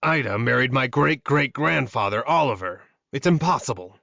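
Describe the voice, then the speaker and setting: A man's voice: medium pitch, lively intonation, moderate pace, gravelly, bright, forceful tone, no room sound, muffled recording, full character voice. A man voicing a proud, wary male werewolf in an audiobook, muttering and scornful. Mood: disbelieving. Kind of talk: audiobook character acting.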